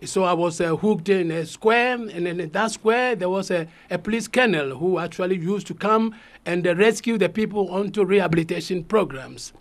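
A middle-aged man from Ghana, telling his life story as a radio guest, halting with many fillers and repeated words. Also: sits high. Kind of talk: radio interview, personal story.

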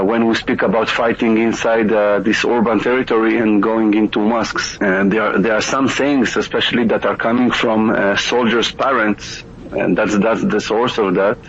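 Halting Israeli man, muffled noisy line. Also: on the low side. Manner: hesitant, halting, searching for words. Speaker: guest expert, intelligence researcher and lecturer. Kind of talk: interview analysis. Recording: muffled lo-fi radio link with clear noise.

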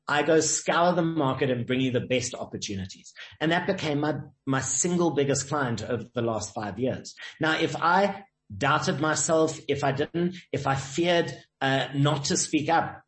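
A man talking as an interview guest, confident and emphatic, driving points home with sayings and stories. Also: on the high side. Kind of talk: motivational interview talk. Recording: echoey room.

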